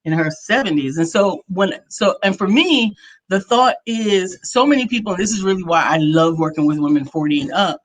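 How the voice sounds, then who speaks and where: A woman's voice: lowish voice, lively intonation, moderate pace. A woman in her fifties with an American accent, talking casually as the co-host of a talk show. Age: 50s.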